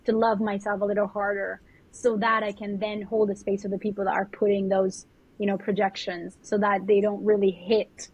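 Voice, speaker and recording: Husky woman with a Colombian accent. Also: mid-range voice. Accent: Colombian Spanish. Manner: earnest, speaks in spiritual terms, often repeats words. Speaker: guest spiritual mentor. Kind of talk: reflective podcast conversation. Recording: dull lo-fi audio.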